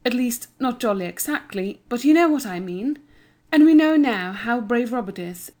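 A fairly high, sensible girl's voice, performed by a woman. She is the earnest, brisk and bossy elder sister of a children's storybook. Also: animated, darkish tone, close to an everyday voice.

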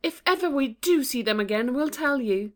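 A woman's voice: high-pitched, animated, normal speed, voice pushed up. A woman voicing a kind, earnest young girl in a storybook reading.

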